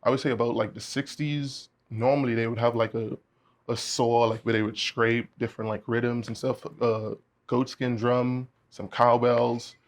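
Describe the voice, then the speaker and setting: A young man from the Bahamas, a guest musician talking in a relaxed way, with many fillers. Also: medium pitch, moderate pace. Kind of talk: casual interview chat.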